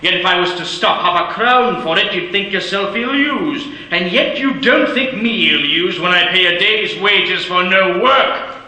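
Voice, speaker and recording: An actor playing a miserly, grumbling old moneylender in an old-time radio play, with a gruff, scolding way of talking. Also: male voice, high-pitched, a little slow, pushed and bright, full character voice. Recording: echoey hall with faint hiss.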